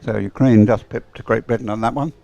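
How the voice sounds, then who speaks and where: A man's voice: mid-range voice, steady tone, a little fast, creaky, dark tone, no room sound, muffled recording. A man doing live sports commentary and off-the-cuff chat in a hesitant, rambling way, often repeating words.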